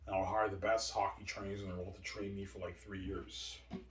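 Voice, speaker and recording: A man's voice: deep voice, little pitch movement, a little fast. A man in his forties rambling in a long monologue, repeating himself, stumbling over words and answering his own questions. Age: 40s. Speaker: video host. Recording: echoey room, far from the mic.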